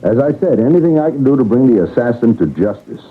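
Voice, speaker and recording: Eager, husky-voiced railroad worker. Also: male voice, medium pitch, very animated, quick pace. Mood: earnest, eager. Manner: earnest, deferential. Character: eager, obliging newlywed railroad man. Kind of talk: old-time radio drama acting. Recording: tinny, narrow radio drama recording.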